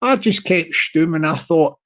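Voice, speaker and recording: A man with a high-pitched tenor voice, talking casually on his livestream and repeating his phrases as he rambles. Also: expressive, unhurried, a bit bright. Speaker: YouTuber livestream host.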